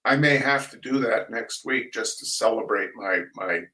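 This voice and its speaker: An older man hosting a reading of humorous essays, chatting casually and reading aloud in a wry, theatrical, halting manner. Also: medium pitch, pushed and bright. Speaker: host of a weekly humor reading. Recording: roomy echo, distant microphone.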